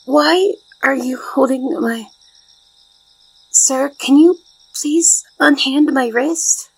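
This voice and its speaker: A woman playing a shy, devoted young maid in a soft ASMR roleplay, speaking politely and formally with flustered hesitation in a soft, breathy voice. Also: sits high, no room sound, poor audio. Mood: flustered, insistent.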